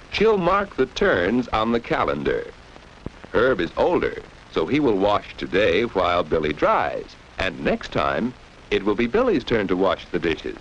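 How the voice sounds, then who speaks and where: Animated 1940s educational film narrator, a man. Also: on the low side. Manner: didactic, addresses young listeners with rhetorical questions. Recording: dull, noisy 1940s film soundtrack.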